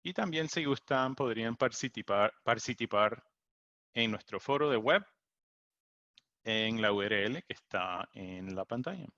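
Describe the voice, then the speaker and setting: A low-voiced man, a native English speaker, presents a technical webinar over a video call. He talks slowly, with frequent fillers and restarts. The sound is dry and a little muffled, as through a laptop mic. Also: lively intonation, sharp tone, no echo. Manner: hesitant, many fillers and restarts. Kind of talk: online tech presentation. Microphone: computer mic on a video call. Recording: dull lo-fi video call audio.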